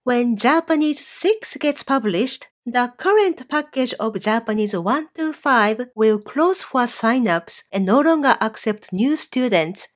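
A woman teaching a Japanese course online, explaining clearly and slowing down on example phrases. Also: fairly high pitch, expressive. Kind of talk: scripted online lesson.

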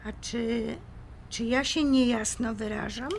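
A woman's voice: fairly high pitch, fairly even intonation, slow pace, gravelly, noisy background. An actress playing a proud, composed woman defending her home in an audio drama, formal, firm and icily polite. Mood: icy, firm.

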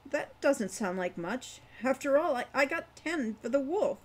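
A woman's voice: high voice, lively intonation, measured pace, voice pushed up. A woman voicing an earnest, polite young man who wants to be a hero, in a storybook reading. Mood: surprised. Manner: earnest, questioning.

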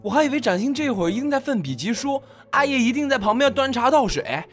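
A man playing an excitable, fussy young friend in an audio drama. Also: very high voice, quick pace. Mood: indignant. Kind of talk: Chinese audio drama acting.

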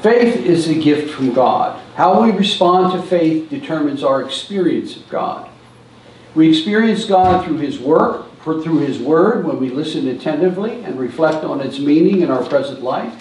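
A man's voice: fairly high pitch, lively intonation, moderate pace, a little mellow, a little hiss or hum. An older man giving a church seminar talk, telling stories from a lectern in a large hall. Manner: storytelling, stands at a lectern and gestures. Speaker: seminar speaker. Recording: echoey hall from a distance.

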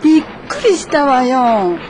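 A woman's voice: high-pitched, big pitch swings, measured pace, noisy background. A woman playing a frank, teasing former classmate in a naturalistic radio drama.

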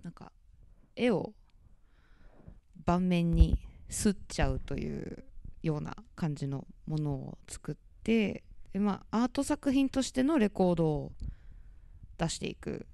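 A young woman talks softly and hesitantly into a handheld mic, her voice mellow and smooth. She sounds slightly low for a woman. The talk is casual, recorded in a dim cafe radio studio. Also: expressive, unhurried. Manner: soft-spoken, hesitant with many fillers. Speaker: label manager.

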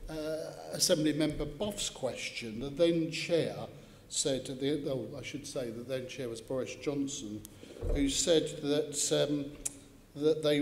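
A man's voice: medium pitch, a little mellow, a little hiss or hum. Middle-aged British man, pointed questioner, echoey hall. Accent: British English. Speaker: assembly member questioning. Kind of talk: formal committee questioning. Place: large council chamber. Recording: echoey hall with faint ambience.

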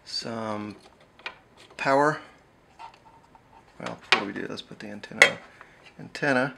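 A middle-aged man with a gravelly voice giving a casual, halting hands-on demonstration at an indoor desk. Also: lowish voice. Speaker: amateur radio hobbyist demonstrating gear. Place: small indoor radio desk. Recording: steady noisy background hiss and ambience.

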